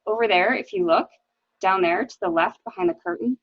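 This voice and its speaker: A woman's voice: mid-range voice, sing-song, fairly quick, no echo, highs cut off. A woman playing a tense, evasive wife suspected of murder, in an amateur dramatic reading of a mystery. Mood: bitter, brittle. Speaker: library staff reader.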